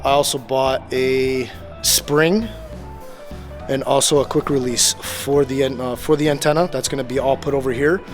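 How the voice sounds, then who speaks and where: A man giving a casual how-to narration on installing a radio in his truck, talking in a relaxed, rambling way with lots of fillers. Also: medium pitch. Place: parking garage beside his truck.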